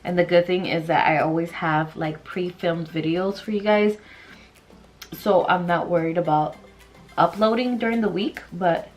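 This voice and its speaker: Stuffy twenty-something woman rambling thru her makeup routine, lowish voice, soft music behind. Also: fairly even intonation, normal speed. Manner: chatty and rambling, many likes and fillers. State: stuffy nose from allergies. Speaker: beauty vlogger. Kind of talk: casual makeup tutorial chat. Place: small bedroom vanity indoors.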